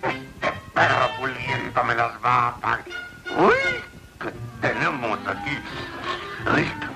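An actor playing a sly, hungry, melodramatic wolf with a Latin American accent in a cartoon dub. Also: male voice, mid-range voice, slow pace, gravelly, rough recording. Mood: greedy. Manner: scheming, melodramatic. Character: sly hungry theatrical male wolf, flattering trickster. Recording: old cartoon soundtrack with music.

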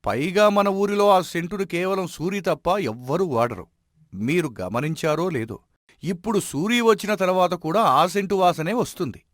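Male actor as sage village mediator, expressive melodic delivery, admonishing, animated folk tale, close dry recording. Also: high-pitched, no room sound. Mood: assured. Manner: measured, advising, admonishing. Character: wise, respected village elder who settles disputes. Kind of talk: animated moral story acting.

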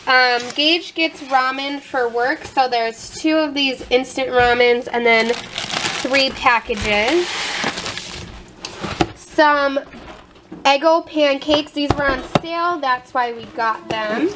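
A woman in her twenties with an American accent, talking casually through items for a home vlog in short, halting phrases. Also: high-pitched. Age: 20s. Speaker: family vlogger. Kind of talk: casual show-and-tell vlog narration. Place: home kitchen. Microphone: handheld camera at arm's length. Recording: noisy handheld camera audio in a kitchen.

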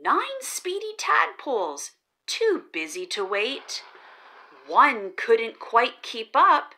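A woman reading a children's picture book aloud as the channel host, in a slow storytelling manner with drawn-out pauses before each number. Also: fairly high pitch, sing-song, sharp tone. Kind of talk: read-aloud storytelling for kids.

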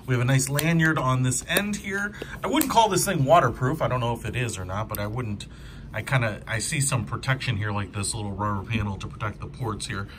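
A man's voice: mid-range voice, fairly quick, background noise. A man giving a casual hands-on product review in an informal, enthusiastic, conversational way.

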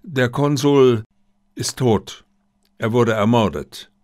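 A man voicing a formal, discreet Japanese diplomat in an audiobook, with courteous, measured wording. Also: mid-range voice, a little mellow, dry recording, studio quality, lightly acted. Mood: grave. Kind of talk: audiobook character dialogue.

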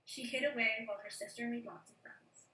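Young woman, childhood educator, picture-book read-aloud, bright tone, distant echoey laptop mic. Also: on the high side, brisk, a little hiss or hum. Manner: gentle, expressive, chatty. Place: room at home with bookshelves. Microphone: video call from a laptop.

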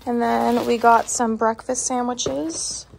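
Young american woman chatting on her vlog, crackly fry in her voice, bit of background noise at home. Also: a little high, flat delivery, takes it slowly, a little mellow. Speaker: vlogger. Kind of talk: casual vlog chat. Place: indoors at home, living room and kitchen. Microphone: phone or camera at arm's length. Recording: noticeable room noise from handheld camera.

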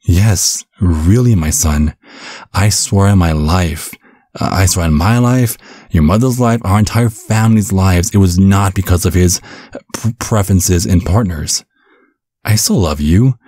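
A man playing a caring, bumbling vampire father in an ASMR roleplay, speaking gently with a stammering, reassuring manner. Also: low voice, a little slow, breathy edge, no echo, studio quality. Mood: earnest, reassuring. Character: caring, bumbling vampire father, looks twenty-five, over a century old.

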